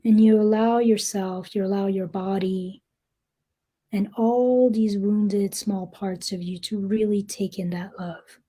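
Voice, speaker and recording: A woman guiding a meditation in a soft, breathy, gentle voice, speaking deliberately with long pauses. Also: medium pitch, steady tone. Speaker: meditation guide. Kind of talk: guided meditation, slow soothing narration.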